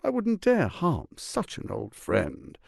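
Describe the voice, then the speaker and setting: A scheming tyrant lord from a fantasy video game, silver-tongued and smug. His pitch swoops dramatically as he savours each word at an unhurried pace. The delivery is pompous and menacing, recorded very dry and close. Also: wildly swooping pitch, vocal fry, darkish tone, breathy edge, no room sound, full character voice. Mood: calculating. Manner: pompous, silky, menacing, theatrically emphatic.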